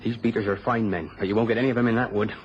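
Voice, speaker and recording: Low-voiced, halting countryman played by an actor. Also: fairly even intonation, close to an everyday voice. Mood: guarded. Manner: halting, guarded, repeats words. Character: plain-spoken countryman, head marker on a shooting estate. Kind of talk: mid-century British radio drama acting. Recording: dull, faintly noisy old radio recording.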